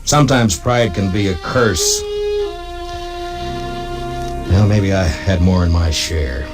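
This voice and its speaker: Stoic Western marshal, very low pitch, halting plainspoken narration, old radio recording, music underneath. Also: male voice, animated. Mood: brooding. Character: stoic, weary US Marshal narrating his own Western story. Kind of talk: 1950s Western radio drama acting and narration.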